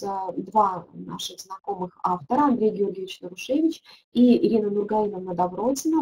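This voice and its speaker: A woman's voice: medium pitch, darkish tone, low-quality recording. A middle-aged woman, a teaching methodologist, leading a webinar and answering viewer questions, thinking aloud with frequent hesitations.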